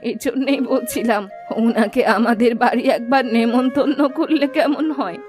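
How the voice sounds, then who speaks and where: A woman's voice: on the high side, normal speed, a little mellow, natural voice. A woman voicing a kindly, contented older village grandmother in a cartoon, with a homely, affectionate manner. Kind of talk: cartoon voice acting. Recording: music clearly playing behind the voice.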